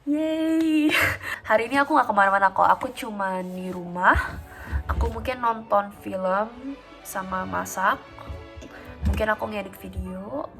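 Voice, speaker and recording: A woman of twenty-one talking casually in a home vlog, calm and chatty with frequent fillers. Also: medium pitch, a little slow, vocal fry, long pauses, soft background music. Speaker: vlogger.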